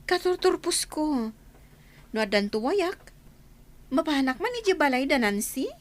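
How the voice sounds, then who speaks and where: An actress plays a friendly young teacher in an Ilocano radio drama. Her voice is very high and girlish, with the open manner of village small talk. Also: very animated, unhurried. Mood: friendly, surprised. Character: friendly young woman, newly graduated volunteer teacher.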